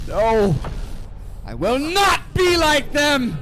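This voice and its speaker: A man's voice: very high voice, wildly swooping pitch, extremely slow, fairly bright tone, slight background noise, exaggerated voice. An actor playing a trapped male serial killer in a horror audio drama, obsessive and desperate, moving between intense narration and pleading. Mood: panicked, pleading. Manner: intense, swinging from murmured narration to frantic pleading.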